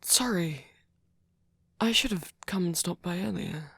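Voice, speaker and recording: A man playing a tender, fretful vampire boyfriend in an ASMR roleplay, speaking softly and hesitantly, often close to a whisper. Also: sits high, a little slow, no echo, heavily acted. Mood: flustered, apologetic. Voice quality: breathy, often near whisper. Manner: soft-spoken, hesitant, stammering, tender. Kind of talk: ASMR roleplay audio drama.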